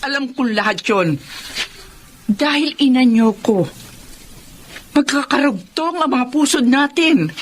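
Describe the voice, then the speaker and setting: A woman's voice: sits high, expressive, slow, pauses often, a little hiss or hum. A woman playing a busy career mother who scolds and earnestly explains herself to her daughter, in a radio drama with music behind. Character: working mother, career woman, stern but loving. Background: background music.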